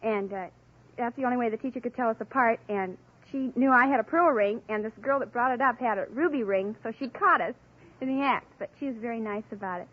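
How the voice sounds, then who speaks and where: A dull vintage radio recording with a faint audience. A young American woman contestant chats in a husky voice that sits a little high. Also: quick pace. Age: young adult. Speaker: quiz show contestant. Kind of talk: chatty anecdote on a quiz show. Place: radio studio with live audience.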